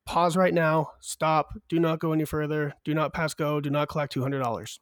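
A man's voice: fairly high pitch, steady tone, moderate pace, clear, resonant tone, no echo, studio quality. A man co-hosting a podcast with a cold, chatting casually and walking through survey numbers in an upbeat way. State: has a cold. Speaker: podcast co-host. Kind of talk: casual chat.

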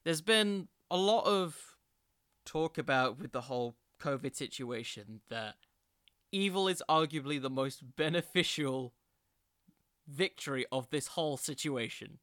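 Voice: medium pitch, animated, a little slow, fairly bright tone, dry recording, studio quality. A podcast host talking solo in a casual, informal commentary style with a self-deprecating manner.

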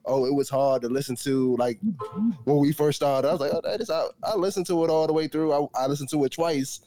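A middle-aged American man with a slightly high voice sits in on a podcast panel. He talks in loose, colloquial banter and tells his stories with animation. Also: steady tone, brisk, warm tone.

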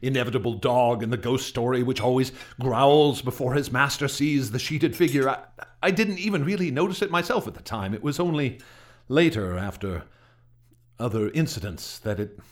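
An actor playing a troubled gentleman recounting frightening events in a radio drama, earnest and hesitant, stammering when unsettled. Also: medium pitch, normal speed, close to an everyday voice. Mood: flustered, defensive. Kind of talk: radio drama acting, halting testimony.

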